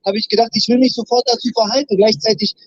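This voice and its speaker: A man's voice: high-pitched, fairly even intonation, fast, a little hiss or hum. A man from Berlin giving a rousing protest speech at an outdoor street rally, leading chants into a handheld mic. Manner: rousing, leads chants, shouts slogans. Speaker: rally speaker. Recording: dull lo-fi sound.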